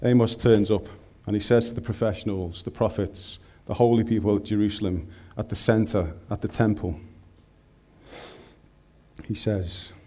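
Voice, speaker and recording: A man's voice: on the low side, steady tone, normal speed, mellow. Scouse man preaching with humor. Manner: humorous, conversational, colloquial. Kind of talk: sermon.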